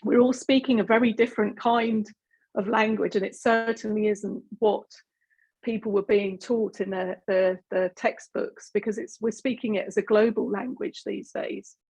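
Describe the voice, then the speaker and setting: A woman's voice: mid-range voice, fairly even intonation, normal speed, a little mellow, slightly rough, low-quality recording. A woman from Britain giving a chatty webinar talk over a video call, with many fillers and restarts. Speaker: presenter. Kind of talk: webinar presentation.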